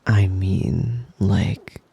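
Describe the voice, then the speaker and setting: Man playing a husky, slow-talking possessive wolf boy. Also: low-pitched, warm tone, dry recording, full character voice. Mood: teasing menace. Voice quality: breathy, husky, often slipping into whisper. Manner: slow, tender, teasing, giggly. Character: possessive, doting male wolf boy lover, menacingly devoted. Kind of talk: intimate ASMR boyfriend roleplay.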